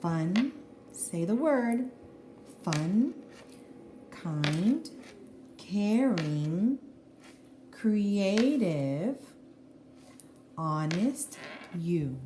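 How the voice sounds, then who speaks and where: A woman teacher reading a children's picture book aloud in an expressive, deliberate way, with explanatory asides to young listeners. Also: mid-range voice. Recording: roomy echo, faint background noise.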